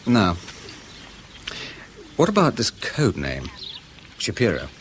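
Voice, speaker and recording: Sceptical British spy colleague, radio drama: lowish voice, very varied melody, dry, testy, educated, noisy backdrop. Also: male voice, wildly swooping pitch, slow, heavily acted. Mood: probing. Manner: dry, probing, sardonic, educated.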